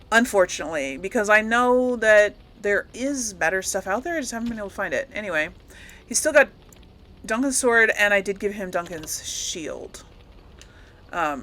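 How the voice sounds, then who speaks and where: A woman's voice: medium pitch, sharp tone. A woman hosting a gaming video, giving casual, rambling commentary as she thinks aloud and corrects herself.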